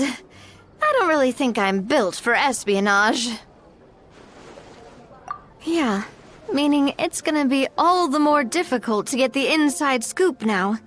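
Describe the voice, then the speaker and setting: A woman's voice: sits high, a bit bright, heavily acted. An actress playing a friendly, easygoing young woman in a game, speaking in a reassuring, conversational way. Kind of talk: game voice acting.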